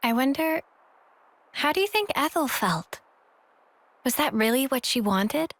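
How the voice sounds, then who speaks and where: A woman playing a compassionate, wondering young soldier in a game drama, gentle and questioning. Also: high voice, sing-song, a little slow, no room sound, heavily acted. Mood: pensive. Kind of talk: dramatic game cutscene acting.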